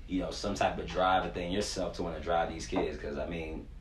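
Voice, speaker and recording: A man in his twenties with a very low voice talks in a casual, slangy way, like a coach in an interview. The room around him is echoey, with a faint noise underneath. He comes across as earnest and informal. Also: steady tone, a little fast. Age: 20s. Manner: informal, slangy, earnest, frequent "you know". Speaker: youth sports performance coach, interview guest.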